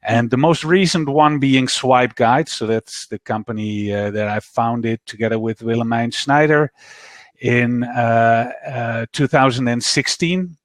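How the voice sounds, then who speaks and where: A middle-aged man with a Dutch accent, speaking as an interview guest over a video call, measured with frequent pauses. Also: mid-range voice, no echo. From Netherlands. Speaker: guest, startup founder. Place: office.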